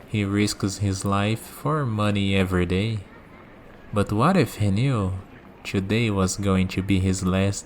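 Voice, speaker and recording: Slow, low-voiced calm villain played by a man. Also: a little hiss or hum. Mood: calm, calculating. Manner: deliberate, measured, with dramatic pauses. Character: calm, cynical male villain wielding godlike power. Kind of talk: ASMR roleplay acting.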